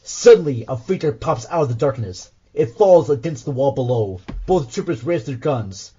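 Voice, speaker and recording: A man's voice: medium pitch, expressive, normal speed, dark tone, husky, lo-fi sound. A man reading scripted audio drama narration as the host.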